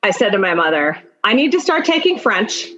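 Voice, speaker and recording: A woman's voice: medium pitch, big pitch swings, fairly quick, fairly bright tone, poor audio. A woman in her fifties speaking as an interview guest, telling personal stories conversationally with emphatic repetition of key phrases. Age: 50s.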